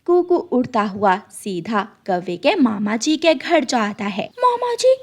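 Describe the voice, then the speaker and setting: A woman's voice: on the high side, big pitch swings, a little slow. A woman narrator reading a scripted children's story.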